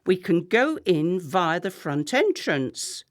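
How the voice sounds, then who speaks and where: An acid-tongued, slow-spoken wife. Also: female voice, on the low side, big pitch swings. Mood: fierce, commanding. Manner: sarcastic, cutting, theatrically clipped delivery. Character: acidic, contemptuous, long-married middle-class wife. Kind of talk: radio play acting.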